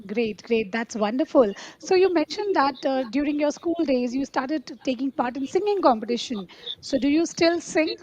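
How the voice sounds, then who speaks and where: A woman's voice: high-pitched, animated, normal speed, darkish tone. A woman hosting an interview, politely affirming her guest and calling her ma'am. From India. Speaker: interview host. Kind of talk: interview questions. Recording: dull, muffled audio.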